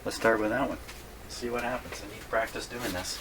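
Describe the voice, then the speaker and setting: An older man speaks in a low register over noticeable background noise. He talks casually, as if demonstrating at a workbench, thinking aloud as he goes. His delivery is folksy and rambling, with a self-deprecating streak. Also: fairly even intonation, fairly quick. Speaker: vintage radio repair hobbyist presenting a video. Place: indoor radio repair workshop.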